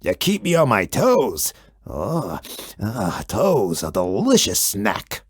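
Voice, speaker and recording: A woman's voice: a bass voice, wildly swooping pitch, slow pace, breathy edge, no echo, clean studio sound, voice pushed down, exaggerated voice. A woman voicing a growly male storybook monster, hungry, fond and teasingly menacing. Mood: fond, grumbling.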